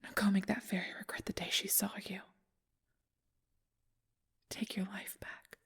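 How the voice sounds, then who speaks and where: A woman playing a calm, knowing ally in an ASMR audio roleplay, whispering with teasing encouragement. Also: mid-range voice, measured pace, vocal fry, husky, full character voice. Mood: wry, encouraging. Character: calm, knowing female ally coaxing someone onward.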